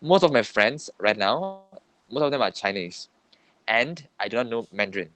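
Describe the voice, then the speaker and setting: A man's voice: medium pitch, animated, moderate pace, pushed and bright, dry recording. A young Malaysian man whose first language is Tamil, co-hosting a casual podcast, rambling and repeating himself with a Malaysian English accent. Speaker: podcast co-host. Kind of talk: casual chat.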